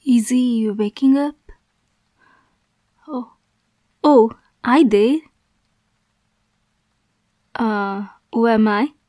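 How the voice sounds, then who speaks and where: A woman's voice: on the high side, dark tone, no room sound. A woman playing a young, obsessive yandere girlfriend kidnapper in an ASMR roleplay, cutesy and teasing with sweet menace. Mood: sneaky, excited. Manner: slow, cutesy, teasing, breathy asides.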